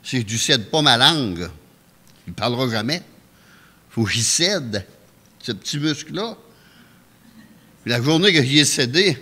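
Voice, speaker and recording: A man with a Québécois French accent preaching a calm, anecdotal testimony sermon in a church, chuckling often. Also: fairly low pitch. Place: church sanctuary. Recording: echoey church hall.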